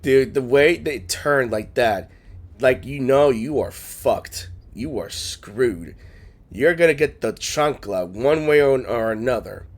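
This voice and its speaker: A man's voice: medium pitch, lively intonation, slow pace. A man giving casual, slangy commentary as he plays a game, in a laid-back manner. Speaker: gaming streamer.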